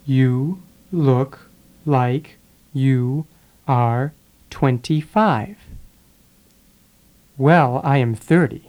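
A speaker drilling English phrases for learners, saying each sentence and then repeating it one word at a time with pauses. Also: mid-range voice, a little mellow. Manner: deliberate, pausing after each word. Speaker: English teacher.

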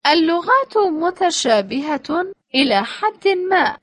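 A woman reading short phrasebook sentences slowly and clearly for language learners. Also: very high voice, very animated, no echo, studio quality. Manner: slow, clearly spaced phrases. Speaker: phrasebook reader.

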